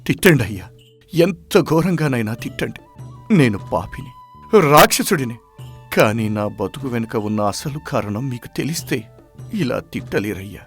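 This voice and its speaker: A man's voice: medium pitch, lively intonation, normal speed, close to an everyday voice. A man playing a sick, guilt-ridden village farmhand who hides his suffering in a dramatized moral story, anguished and heavy-hearted. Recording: music behind the voice.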